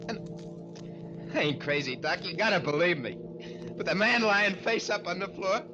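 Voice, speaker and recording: An actor playing a nervy, evasive escaped killer with an American accent, hesitant and stammering in 1940s radio style. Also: male voice, high-pitched, animated, a little slow. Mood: haunted. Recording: dull old radio recording with music.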